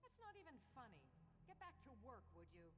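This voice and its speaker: High, scolding cultist woman, reverberant. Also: big pitch swings, fairly quick. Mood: annoyed, threatening. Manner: curt, scolding. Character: irritable, threatening female cultist worker. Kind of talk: video game character acting. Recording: distant reverberant game audio with noise.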